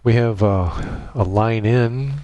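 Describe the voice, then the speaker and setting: A man narrating a casual software tutorial, explaining step by step in an informal, halting way. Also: lowish voice, dull audio, background noise. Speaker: tutorial presenter.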